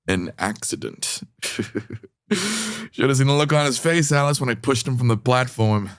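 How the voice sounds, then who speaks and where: An actor playing a smooth-talking, amused murderer and lover in a noir comedy radio drama. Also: male voice, mid-range voice, big pitch swings, unhurried, no echo, studio quality. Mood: amorous, gloating. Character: smooth-talking, callous male killer and lover.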